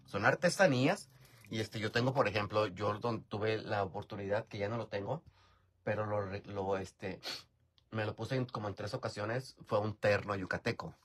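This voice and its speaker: Husky Mexican man, chatty storyteller. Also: lowish voice, normal speed. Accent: Mexican, from Michoacán. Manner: chatty, rambling, frequent fillers, swears casually. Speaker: drag performer vlogger. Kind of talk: casual storytelling while doing makeup. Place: bedroom at home, indoors. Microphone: phone camera close up.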